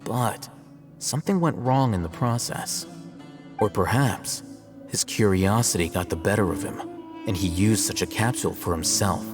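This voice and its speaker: Man playing an aloof, low-voiced young scholar, reverberant. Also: close to an everyday voice. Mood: analytical. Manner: measured, detached, dryly matter-of-fact. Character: aloof, cerebral young male scholar scribe, coolly self-assured. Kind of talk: video game character dialogue. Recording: long reverb, music behind the voice.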